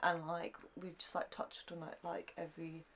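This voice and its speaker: A woman's voice: lowish voice, completely flat delivery, normal speed. A woman chatting casually as a reaction show co-host, feeling her way through loose, hesitant phrases.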